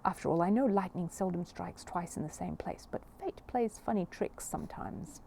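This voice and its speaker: A kindly older storybook gentleman, played by a woman, tactful and reflective. The voice is low, soft and mellow, with gentle, measured musing. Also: vocal fry, a little hiss or hum.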